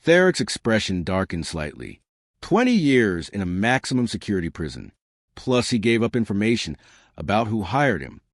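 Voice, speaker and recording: Lively low-voiced male storyteller. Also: normal speed, no echo, clean studio sound. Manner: lively storytelling, shifting pitch for quoted characters. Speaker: narrator. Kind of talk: scripted story narration.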